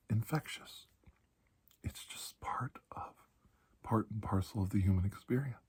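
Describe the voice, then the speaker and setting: A man with a low, mellow voice that is heavily husky and breathy. He plays a reassuring doctor in a soft-spoken ASMR roleplay, often sinking close to a whisper. His delivery is slow and calming, with deliberate pauses. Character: calm, reassuring older military doctor treating alien infection. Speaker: ASMR performer playing a doctor.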